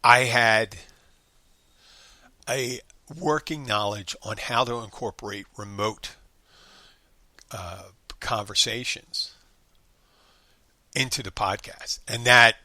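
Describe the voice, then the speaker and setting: A man's voice: mid-range voice, slow pace, long pauses, bright, forceful tone, no echo. A man hosting a solo podcast, talking in a loose, rambling way with many restarts and fillers. He is a bartender from the United States.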